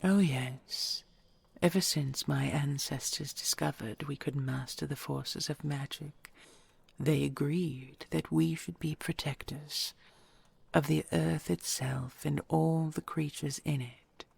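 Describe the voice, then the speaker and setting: A woman voicing an ancient dragon queen in an audio roleplay, tender and regal, speaking in a husky, breathy half-whisper with stately, intimate phrasing. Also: deep voice, fairly even intonation, darkish tone, dry recording, full character voice. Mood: proud, affectionate. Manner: stately, measured, formal and intimate endearments.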